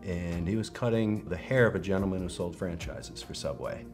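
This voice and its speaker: A man's voice: sits low, moderate pace. A middle-aged man speaking as a franchise owner in an interview testimonial. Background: music.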